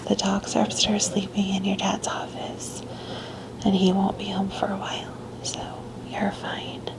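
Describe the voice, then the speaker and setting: A woman's voice: mid-range voice, completely flat delivery, measured pace, rough recording. A woman whispering breathily in a soothing, tender, motherly ASMR roleplay, offering comforting reassurance. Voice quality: breathy, mostly unvoiced whisper. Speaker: ASMR performer playing a caring mother. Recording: soft music clearly behind the whisper. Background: music.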